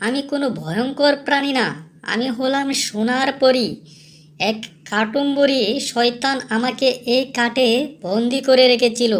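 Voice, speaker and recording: Woman playing a gentle golden fairy. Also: sits high, lightly acted. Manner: gentle and reassuring. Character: kind magical golden fairy, female.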